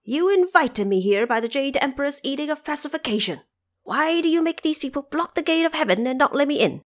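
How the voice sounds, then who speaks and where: Woman acting a defiant monkey king, high pitch, lively swings, indignant, hot-tempered, audio drama. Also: fairly quick. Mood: angry yelling. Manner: indignant, insolent, blunt.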